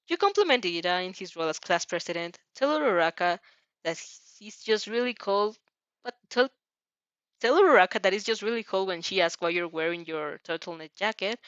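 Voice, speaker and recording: A woman with a mid-pitched voice reads a story aloud in an expressive, informal way. She stumbles over sentences and breaks off to comment as herself. The sound is dry and a little dull. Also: no echo. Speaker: fan fiction reader reacting as she reads. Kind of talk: animated story read-aloud with casual reactions. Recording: slightly dull, dry recording.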